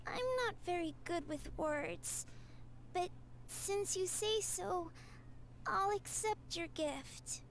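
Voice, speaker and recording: An actress voicing a shy, sickly little girl in a game, speaking softly and hesitantly. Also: very high voice, animated, slow, slight background noise, full character voice. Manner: hesitant, soft-spoken. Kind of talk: game voice acting.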